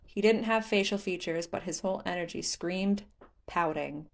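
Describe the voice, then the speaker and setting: A woman's voice: lowish voice, normal speed, vocal fry. A woman reading scripted story narration as the narrator of a fan fiction audiobook.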